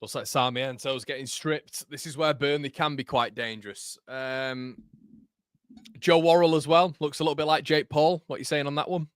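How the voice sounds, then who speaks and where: A man's voice: fairly high pitch, fairly even intonation, normal speed, clean tone, dry recording, studio quality. A man with a Yorkshire accent, hosting a live football watchalong, calling the play and chatting with viewers in the live chat between moves. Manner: chatty, reads live chat, laughs at own jokes. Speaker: watchalong host and commentator. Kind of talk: live match watchalong commentary.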